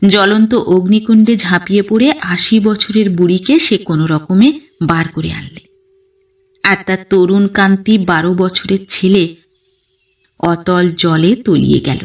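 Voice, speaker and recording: A woman reading a literary first-person story as an audio narrator, with an expressive, dramatic storytelling delivery. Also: medium pitch, unhurried, recorded from a distance.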